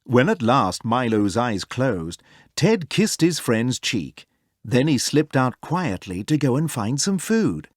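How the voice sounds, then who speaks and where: A man narrates a children's storybook in a medium-pitched voice. His intonation is lively and expressive, with clear phrasing. The recording is close and dry, with no room around the voice. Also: slow pace, dry recording. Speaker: storybook reader. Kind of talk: animated children's read-aloud narration.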